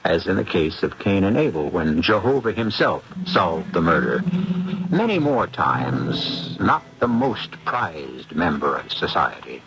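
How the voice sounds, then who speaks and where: A male radio mystery host narrates with deliberate, portentous drama. His gravelly voice swings widely in pitch. The recording sounds dull and old. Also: sing-song, noisy background.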